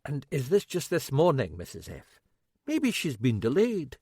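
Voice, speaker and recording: A man's voice: medium pitch, wildly swooping pitch, measured pace, darkish tone, husky, dry recording, studio quality, voice pushed up, heavily acted. A man voicing a cheerful young Scottish constable with an obliging, chirpy manner and a Scottish accent.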